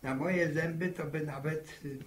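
An actor playing a genial, nosy old village grandfather with a creaky voice and folksy, wheedling manner, in 1960s radio drama style. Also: a little high, fairly even intonation, a little slow, natural voice. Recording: noisy, echoey old radio recording.

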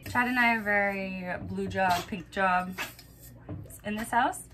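A woman's voice: medium pitch, measured pace, noisy background. A woman chatting casually to camera as a family vlogger, recorded on a handheld camera. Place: small family home and yard outdoors. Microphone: camera or phone at arm's length.

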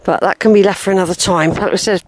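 A woman's voice: fairly low pitch, fairly even intonation, quick pace, slight background noise. A woman, short of breath and wheezy, chatting casually to camera as she walks outdoors on a grassy hillside. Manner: chatty, rambling, talks to herself. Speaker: walking vlogger. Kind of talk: casual walking commentary to camera. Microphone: handheld camera, unseen narrator.

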